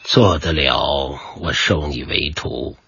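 Radio drama kung fu sage, aged, stern and husky, very low-pitched, dull recording. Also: male voice, sharp tone, dry recording, heavily acted. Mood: stern, measured. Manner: measured, authoritative. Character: stern, patient old male Taoist kung fu master.

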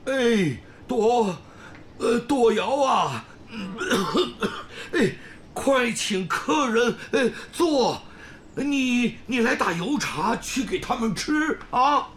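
Slow, ailing old village grandfather. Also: extremely high pitch, animated, a bit bright, a little hiss or hum, full character voice. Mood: weak, welcoming. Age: older. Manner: halting, sighing, coughing. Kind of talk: radio drama acting.

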